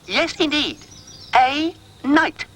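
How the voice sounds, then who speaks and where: A man voicing a pompous, excitable male dog in a storybook reading, with blustering exclamations and grand airs. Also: extremely high pitch, drawn-out words, slight background noise, voice pushed up, exaggerated voice. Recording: music playing behind.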